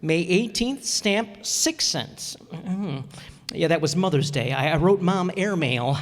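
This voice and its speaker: A man's voice: high-pitched, lively intonation, slow. A man playing a bashful, literal-minded, penny-pinching teacher with a hesitant, earnest manner in an old-time radio comedy. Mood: earnest, fussy. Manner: hesitant, earnest, fussy, throat-clearing. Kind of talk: old-time radio sitcom acting before an audience. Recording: echoey hall, amateur stage performance.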